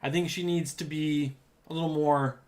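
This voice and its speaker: A man's voice: fairly high pitch, steady tone, normal speed, a little mellow. A man with a New England accent, co-hosting a casual podcast chat, enthusiastic and rambling with many restarts and fillers. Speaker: podcast co-host.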